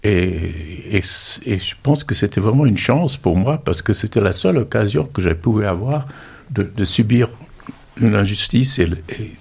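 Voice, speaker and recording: Older activist guest near eighty: gravelly, husky, low voice, thoughtful and self-mocking. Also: male voice, expressive, normal speed, darkish tone. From raised in the United States. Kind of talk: reflective personal storytelling.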